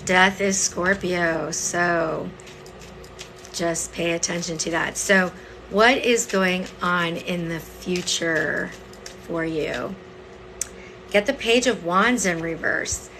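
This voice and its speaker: Bright, slightly hoarse low-pitched woman. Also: slow, slight background noise, rough recording. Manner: conversational, explanatory, frequent "okay?" and "right?" check-ins. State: voice partly lost, hoarse. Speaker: tarot reader hosting a livestream. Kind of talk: casual live tarot reading, chatting with viewers.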